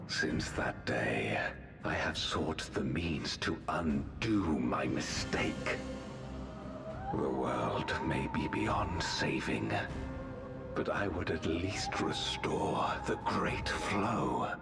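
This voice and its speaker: A man playing a grave, remorseful fallen villain in a video game, with a very deep gravelly voice and solemn, archaic, deliberate delivery. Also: expressive, full character voice. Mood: bitter remorse. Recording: roomy echo, loud music underneath.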